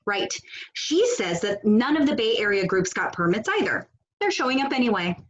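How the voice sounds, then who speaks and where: A woman acting a blunt, sardonic protest organizer in a stage comedy over a video call, with a brisk, dry, bossy delivery. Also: mid-range voice, very animated, dull audio, natural voice. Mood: businesslike. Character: blunt, sardonic female leader of a protest cell.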